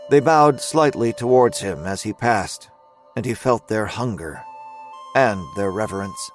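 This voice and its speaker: A man narrating scripted fiction as a storyteller in an audio drama. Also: medium pitch. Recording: faint music under narration.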